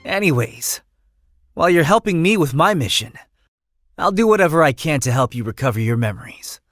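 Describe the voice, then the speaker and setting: Game role: idealistic chatty young noble, bright-eyed and breezy, high voice, very lively pitch, dry close sound. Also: male voice, brisk, dry recording. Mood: friendly, persuasive. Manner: talkative, breezy, theatrical. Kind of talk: video game voice acting.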